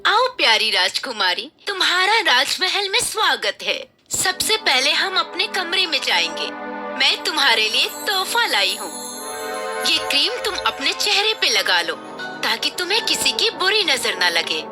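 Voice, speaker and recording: A woman's voice: sits high, very animated, normal speed, over music. A woman playing a jealous, scheming witch queen stepmother in a children's cartoon fairy tale. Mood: falsely sweet.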